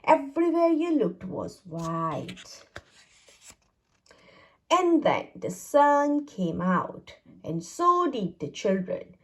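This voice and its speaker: Female storybook reader for young children: deliberate, highly animated intonation, slow delivery. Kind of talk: children's read-aloud narration.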